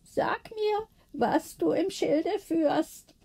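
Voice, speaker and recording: A woman's voice: very high voice, extremely slow, creaky, mellow, pitched above the performer's own voice, exaggerated voice. A woman voicing a cooing aunt who sweet-talks her cat in a coaxing, hypnotic singsong for a bedtime storybook.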